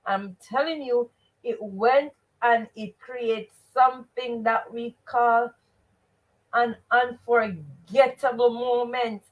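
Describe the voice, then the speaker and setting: High-voiced Jamaican woman teaching live. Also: takes it slowly, muffled recording. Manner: expressive, emphatic, repeats rhetorical questions and stock phrases. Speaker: teacher and host of a live read-aloud show. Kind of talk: interactive live lesson, questioning listeners.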